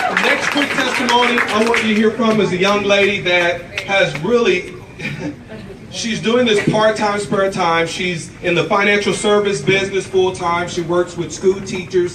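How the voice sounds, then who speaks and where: A man with a high voice hypes up a crowd, pitching and introducing guests with salesy energy. He sounds far from the mic in an echoey hall full of background noise. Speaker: event host. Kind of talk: hyping up a sales event. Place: event venue with a crowd.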